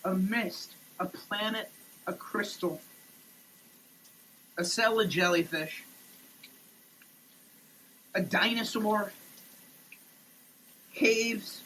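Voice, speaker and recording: A person giving a dramatic, declamatory reading in a halting way, often repeating words. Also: mid-range voice, fairly bright tone. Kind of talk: dramatic, theatrical reading of a product label. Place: in a running shower. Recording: noisy wet shower recording.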